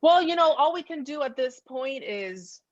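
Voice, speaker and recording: A woman's voice: high voice, lively intonation, moderate pace, no echo. Dull-sounding, dry recording of a chatty woman host. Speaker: podcast host. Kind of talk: casual chat. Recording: dull, lo-fi close dry audio.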